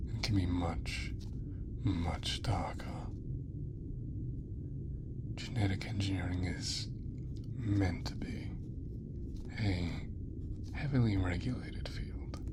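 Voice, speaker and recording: A man playing a gentle, thoughtful caretaker in a sci-fi ASMR roleplay, speaking in a soft breathy whisper with deliberate, soothing pauses. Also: deep voice. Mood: grave, hushed.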